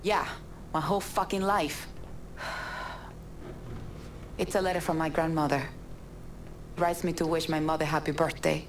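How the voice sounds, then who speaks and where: A woman's voice: deep voice, fairly even intonation, moderate pace, noisy background. An actress playing a hard-bitten woman smuggler with a blunt, foul-mouthed manner in a game cutscene. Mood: irritated, bitter. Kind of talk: naturalistic video game cutscene acting.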